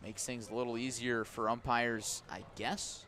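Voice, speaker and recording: A man's voice: fairly even intonation, a little slow, pushed and bright. American man calling baseball on radio. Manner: conversational, wry asides, clipped pitch calls. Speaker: baseball play-by-play broadcaster. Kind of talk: live radio sports commentary mixed with storytelling.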